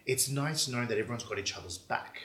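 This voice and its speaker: A man with a bright, crisp-edged voice at a medium pitch hosts a relaxed chat interview. He talks informally, doubling back on words while he looks for the right phrase. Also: fast, roomy sound. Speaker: podcast host, interviewer.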